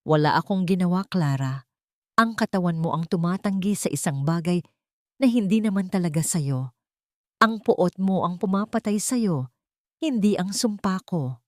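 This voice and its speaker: A woman voicing a calm, resolute wronged wife and mother in an audio drama, cold and composed. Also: fairly low pitch, normal speed, no room sound, studio quality, lightly acted. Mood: firm, calm.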